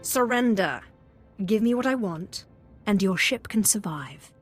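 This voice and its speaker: A composed, commanding Sith agent. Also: female voice, medium pitch, expressive, unhurried, faint music behind. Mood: cool command. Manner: controlled, authoritative.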